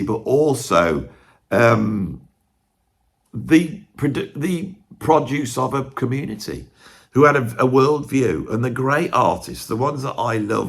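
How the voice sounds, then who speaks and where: A tired man with a croaky voice, hosting a music video and thinking aloud in a rambling, casual monologue to camera. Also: fairly low pitch, fairly bright tone.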